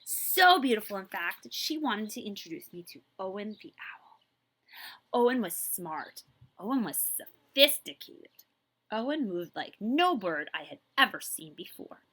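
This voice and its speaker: A woman librarian reading a picture book aloud to children in an expressive way, with drawn-out emphasis and a habit of addressing her listeners. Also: medium pitch, slightly rough. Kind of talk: children's read-aloud storytelling with asides to listeners.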